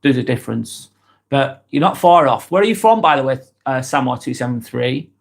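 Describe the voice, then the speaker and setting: Lancs guy on a livestream, chatty and rambly, lots of ums, regular pitch. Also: normal speed, creaky. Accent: Lancashire. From East Lancashire, England. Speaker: livestream vlogger. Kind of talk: casual livestream chat, reading out viewer comments.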